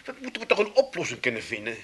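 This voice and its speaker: A man's voice: on the high side, huge pitch swings, very fast, bright, forceful tone, slight background noise, heavily acted. A man playing a dry, put-upon adult son in a comedy radio play, sarcastic and placating by turns. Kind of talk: 1980s Dutch comedy radio play acting.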